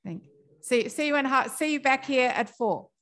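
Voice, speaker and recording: A woman's voice: high-pitched, expressive, normal speed. A woman chairing a conference session, introducing speakers informally with frequent fillers. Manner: informal, hesitant, many fillers. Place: conference room.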